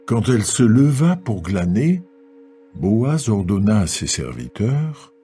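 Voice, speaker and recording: A man's voice: on the low side, very animated, slow pace, dark tone, faint music behind. A man narrating a dramatised scripture reading as a scripted storyteller.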